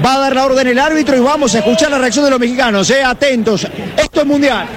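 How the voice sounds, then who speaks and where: High-pitched Argentine man reporting amid a crowd. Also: fast talker. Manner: chatty, informal, repeats tag questions. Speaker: roving radio reporter. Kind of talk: live street reporting and fan interviews. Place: outdoors among football fans. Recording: outdoor street crowd and traffic noise.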